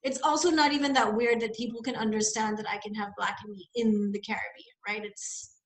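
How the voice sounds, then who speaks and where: A young woman from the Bahamas talks through her experiences in a loose, thinking-aloud way. Her voice sits a little high, and the recording rings with the echo of the room around her. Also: fairly even intonation, brisk. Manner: reflective, rambling, many fillers. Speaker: guest. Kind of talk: casual conversation, personal story.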